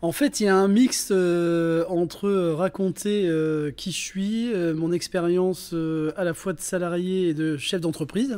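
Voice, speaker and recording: Fifty-something man, slightly high voice. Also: steady tone, measured pace, hardly any pauses, dark tone, smooth. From northern France. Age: 50s. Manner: hesitant, many fillers and restarts. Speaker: podcast guest, entrepreneur and performer. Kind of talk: casual interview talk.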